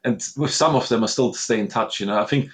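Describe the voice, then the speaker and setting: A man's voice: mid-range voice, fast talker. A man in his thirties from Austria with an Austrian accent, a guest telling his story earnestly and reflectively in an interview. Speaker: guest, master sommelier. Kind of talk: conversational interview.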